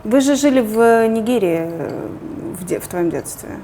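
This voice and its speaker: Woman asking brief questions, mellow tone. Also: mid-range voice, expressive, moderate pace, slight background noise. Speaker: interviewer. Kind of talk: interview questions.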